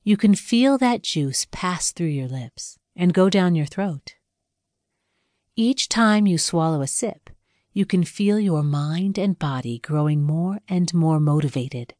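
A woman narrating a scripted guided meditation in a measured, soothing, instructional way with pauses between phrases. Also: fairly low pitch, animated, darkish tone, dry recording, studio quality.

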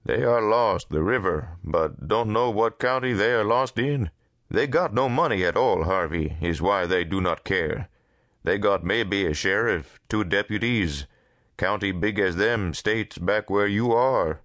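Audiobook role: male reader as weary, blunt rural grumbler, folksy broken grammar, low and slow. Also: a bit bright, no room sound, studio quality. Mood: sardonic. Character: gruff, weary, grumbling rural man with sore ribs.

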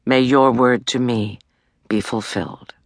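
A woman voicing a humble, devout young maiden in an audiobook, reciting praise in reverent, measured, lyrical phrases. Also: extremely low pitch, steady tone, no room sound, clean studio sound.

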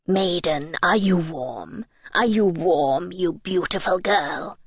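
Storybook frost king, played by a woman, eerie and snappish, lowered voice, drawn-out deliberate delivery, very dry close recording. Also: slightly rough, no room sound, full character voice. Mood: menacing, insistent. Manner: slow, drawn-out, deliberate questioning.

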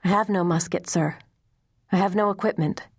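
A woman's voice: on the low side, fairly even intonation, normal speed, slightly rough, no room sound. Casting: earnest young boy soldier, polite and eager, read by a woman, dry close sound.

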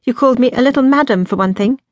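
A woman voicing a blunt, outspoken eighteen-year-old younger sister in an audiobook, sharp and direct. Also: fairly high pitch, flat delivery, moderate pace, dry recording, voice pushed up, lightly acted. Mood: sharp, accusing. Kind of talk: audiobook character dialogue.

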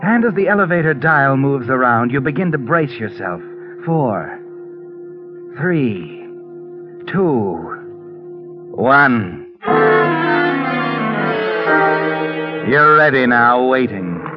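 An actor playing a knowing, sardonic narrator of a 1940s radio mystery, taunting and suspenseful. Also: male voice, mid-range voice, sing-song, slow pace, pauses often. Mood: taunting, tense. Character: sinister, knowing, all-seeing narrator taunting the schemer. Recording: muffled old radio recording, music behind.

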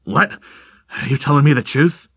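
A man reading aloud, voicing a gruff middle-aged crook who snarls and blusters in tough street slang. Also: a little high, moderate pace, a bit bright, dry recording, clean studio sound, voice pushed up. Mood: agitated.

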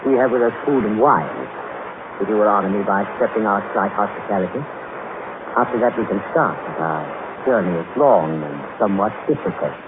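An actor playing an elderly, serene Chinese emissary with formal, measured courtesy in a 1930s radio drama. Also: male voice, fairly low pitch, lively intonation, natural voice. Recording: noisy, narrow-band 1930s radio recording.

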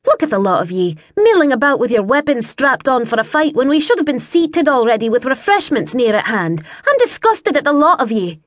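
A woman's voice: sits high, very animated, fairly quick, few pauses, no room sound, voice pushed up. A woman voicing an indignant young noblewoman in an audiobook, haughty and scolding. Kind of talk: audiobook character voice.

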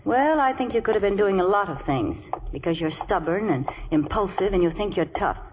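An actress playing a quick-witted, self-assured detective's secretary, wry, teasing and poised in 1940s radio style. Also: female voice, medium pitch, animated, lightly acted. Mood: candid, affectionate. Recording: reverberant, noisy vintage radio recording.

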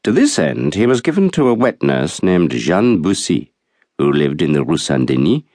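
Deep-voiced man narrating a novel. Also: big pitch swings, normal speed, a little mellow, no echo, clean studio sound. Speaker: audiobook narrator. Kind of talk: scripted literary narration of a novel.

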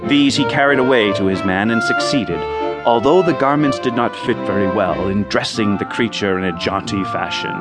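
A man's voice: lowish voice, moderate pace. A man reading scripted storybook narration as the narrator of a radio drama. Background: loud music.

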